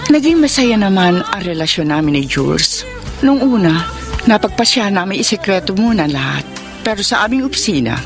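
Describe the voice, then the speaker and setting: An actress playing a smitten middle-aged career woman in a radio drama, dramatic and sentimental, with a Filipino accent. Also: on the low side. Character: smitten older career woman, a film producer, telling her love story. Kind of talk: Filipino radio drama acting and letter narration.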